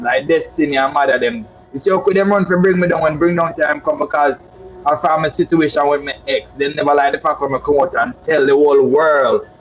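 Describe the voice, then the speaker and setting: Jamaican man, boastful patois monologue, fairly high voice, dull lo-fi sound. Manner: boastful, flamboyant patois chatter, calls listeners "my love". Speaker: social media personality. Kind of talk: casual storytelling monologue.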